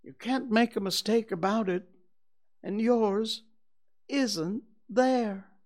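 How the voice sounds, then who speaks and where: A man voicing a cheerful, earnest little male teddy bear in a storybook reading, in a bright, eager, slightly sing-song manner. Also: high voice, takes it slowly, voice pushed up.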